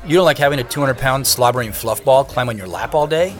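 A man's voice: mid-range voice, animated, fast talker, soft background music, natural voice. An actor playing a wry, guarded young businessman who narrates his own story in an audio drama. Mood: playful, defensive. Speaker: narrator and lead character. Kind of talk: audio drama first-person narration and dialogue.

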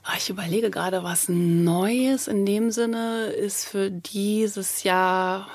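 A woman speaking as an interview guest, telling things informally and pausing mid-sentence to think. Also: medium pitch, no room sound. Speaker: audio drama PR manager, interview guest. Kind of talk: casual interview conversation.

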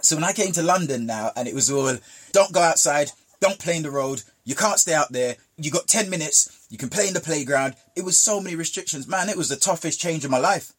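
A man's voice: fairly high pitch, animated, fairly quick, a bit bright, breathy edge. A man from Jamaica telling childhood stories in casual conversation, with emphatic repetition. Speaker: guest.

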